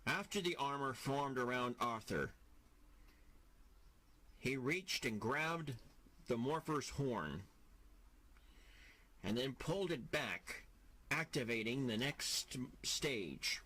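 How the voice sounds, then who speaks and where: A man narrating an audio drama from a script in a deliberate, dramatic storytelling manner. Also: medium pitch, animated, background noise.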